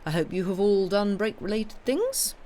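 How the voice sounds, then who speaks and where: A woman's voice: medium pitch, big pitch swings, normal speed, mellow. A woman chats casually into a close desk mic during a live stream, rambling a little with fillers and restarts. She sounds apologetic and self-deprecating. A faint hiss sits under her voice. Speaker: streamer reading ghost stories. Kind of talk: casual chat between stories.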